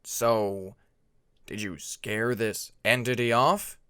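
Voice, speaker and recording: A man's voice: lowish voice, steady tone, slow, pushed and bright, clear, resonant tone, no room sound. A man voicing a blunt, protective male dragon in a firm, plain-spoken way for a narrated fantasy story. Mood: concerned.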